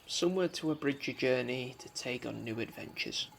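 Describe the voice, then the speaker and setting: An actor playing a pensive, solitary young man in a radio drama monologue, speaking in a reflective, halting, poetic way with a creaky voice. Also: mid-range voice, a bit bright, slight background noise. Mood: dreamy.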